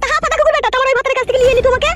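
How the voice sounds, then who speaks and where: Jealous village wife: piercingly high, very fast, nagging, cartoon comedy. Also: male voice, fairly even intonation, very noisy. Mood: furious. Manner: nagging, accusing.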